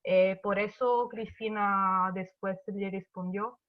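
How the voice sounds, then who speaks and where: Slow Italian woman, halting. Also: medium pitch, steady tone, highs cut off. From Italy. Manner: halting, searching for words. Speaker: participant.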